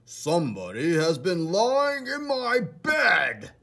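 A man voicing a great big, gruff, indignant father bear in a storybook, with a gravelly, husky voice. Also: sits high, huge pitch swings, takes it slowly, pushed and bright, pitched above the performer's own voice, full character voice.

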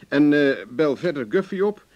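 An actor playing a cocky, wry, hard-drinking investigator in a radio play, speaking in a dry, self-assured way. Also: male voice, fairly high pitch, lively intonation, moderate pace, a little mellow, lightly acted. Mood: brisk, wry. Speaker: actor in the lead role. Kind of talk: Dutch radio play acting. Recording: dull, lo-fi old radio recording.

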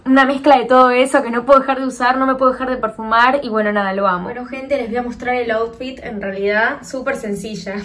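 Effusive young Argentine woman, fairly high voice. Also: steady tone, fast talker, few pauses, a bit bright. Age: 20s. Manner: effusive, gushing. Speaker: vlogger. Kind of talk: casual chat to camera. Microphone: phone at arm's length.

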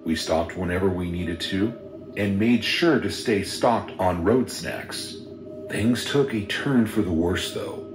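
A man reading a first-person horror story in a steady, measured storytelling voice. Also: deep voice. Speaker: narrator. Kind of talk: scripted horror story narration. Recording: roomy sound with music underneath.

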